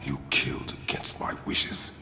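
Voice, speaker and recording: A man's voice: measured pace, pushed and bright. An actor playing a stern, guarded warrior god and father in a video game, with commanding, terse delivery. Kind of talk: video game cutscene acting. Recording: long hall reverb under music.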